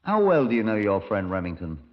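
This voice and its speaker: An actor playing a brisk, commanding British chief inspector in a 1950s radio drama, middle-aged, clipped and authoritative. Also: male voice, lowish voice, sing-song. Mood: stern, official. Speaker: detective narrator. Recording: dull, lo-fi old radio recording.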